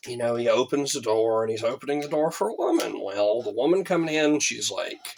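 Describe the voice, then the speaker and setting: A man's voice: medium pitch, fairly quick, gravelly, a little hiss or hum. A man telling a rambling story in casual chat, with lots of fillers and restarts. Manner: rambling, animated, many fillers. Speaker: band member telling a story.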